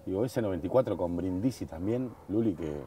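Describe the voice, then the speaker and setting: A man's voice: low-pitched, brisk, dark tone, slight background noise. A man in his forties with an Argentine accent, hosting a casual conversational interview. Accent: Argentine Spanish. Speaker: host, interviewer. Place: outdoor garden patio, at a dining table.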